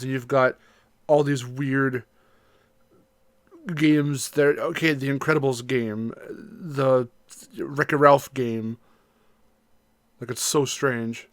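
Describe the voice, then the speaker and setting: A man chats casually as a podcast co-host at a middling pitch. He feels his way through his thoughts, rephrasing as he goes. The sound is dry, with very little room echo.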